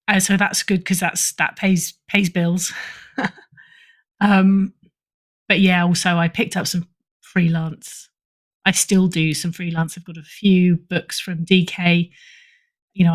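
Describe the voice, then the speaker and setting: A middle-aged woman talking casually as an interview guest, chatty and self-deprecating. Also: lowish voice. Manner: self-deprecating, chatty, chuckles through remarks. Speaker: podcast guest, writer and editor.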